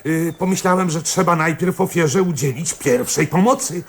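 A man playing a flustered, deferential, slow-witted police constable in a radio drama, hesitant and apologetic. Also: a little high, a little hiss or hum, lightly acted.

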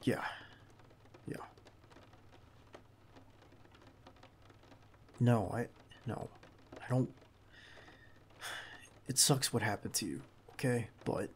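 Man acting a gravelly, protective bodyguard, reassuring. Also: mid-range voice, a little hiss or hum, natural voice. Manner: hushed, halting, reassuring, close to the listener. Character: protective young male bodyguard, calm and reassuring. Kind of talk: intimate ASMR roleplay acting.

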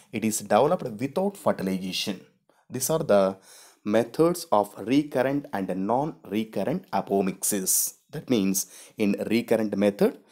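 A man's voice: on the low side, a little mellow. A man teaching a biology lesson over slides, explaining each step and repeating key terms. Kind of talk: slide lecture.

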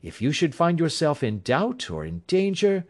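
A man's voice: on the high side, huge pitch swings, normal speed, pitched above the performer's own voice. A man reading aloud, voicing a keen, grave and impatient male detective in a storybook reading. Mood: reassuring. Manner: crisp, grave, impatient outbursts. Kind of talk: audiobook character reading.

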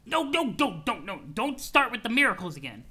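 Casting: male reader as a hot-tempered young troll, sharp and high, game dialogue full of scornful ranting. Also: big pitch swings, a little fast, husky, exaggerated voice. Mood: exasperated, scornful. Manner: exasperated, ranting, insulting.

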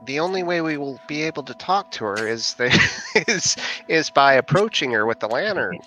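A man's voice: fairly high pitch, big pitch swings, moderate pace, bright, forceful tone, rough recording. A man chatting casually as a player in a tabletop game. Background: background music.

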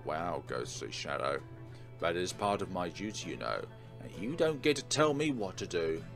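Male let's play host, lowish voice, narrating a visual novel with casual asides over game music. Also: big pitch swings, normal speed, creaky. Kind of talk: dramatic read-aloud of a visual novel with casual commentary.

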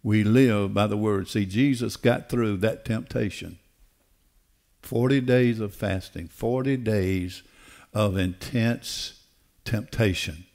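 Older male preacher, fairly deep voice, emphatic and deliberate delivery from a church stage. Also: darkish tone. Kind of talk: sermon. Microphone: headset.

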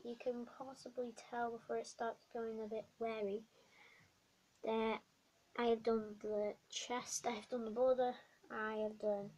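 A young girl with a bad cold and a croaky voice, chatting through a craft tutorial vlog in a small bedroom, rambling with many ums. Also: a little high, a little mellow, low-quality recording. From not American. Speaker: craft vlogger. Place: small dim bedroom indoors.

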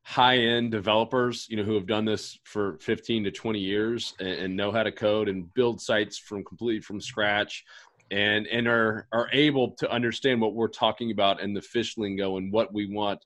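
A man in his thirties hosting an excited livestream pitch, hoarse from allergies, talking fast with lots of energy in a home office. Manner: energetic, gestures a lot. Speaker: host. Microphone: headset and studio mic.

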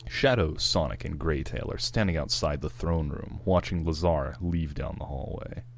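A man's voice: a bass voice, moderate pace, gravelly, a little hiss or hum. A man narrating an amateur dramatic reading of a screenplay.

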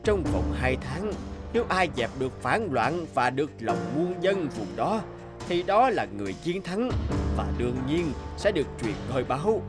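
Aging king, stately, fatherly, deliberate, animated fairy tale, music underneath. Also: on the high side. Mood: solemn. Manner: solemn, measured, regal.

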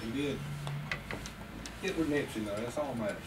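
A man's voice: medium pitch, brisk. A man in his thirties tossing out short, casual teasing remarks while playing a game in a warehouse office. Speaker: player in an office golf challenge. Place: large indoor warehouse office room. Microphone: far camera across the room. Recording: distant camera mic, background noise, clicks.

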